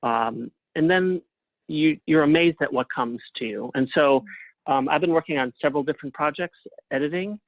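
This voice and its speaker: A man giving casual interview answers as a guest over a phone line, in a halting way with frequent restarts. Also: a little high, no echo. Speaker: guest, playwright and librettist. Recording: thin phone-line sound.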